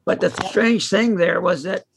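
A man casually telling anecdotes in a group discussion, piecing them together haltingly. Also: sits high. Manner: halting, piecemeal storytelling. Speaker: book club member. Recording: clearly noisy recording with clicks.

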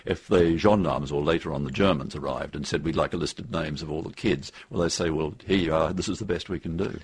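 An older man telling historical anecdotes in an interview, in a halting but emphatic, conversational way. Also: rumbling low, husky. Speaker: author interviewed about his history book.

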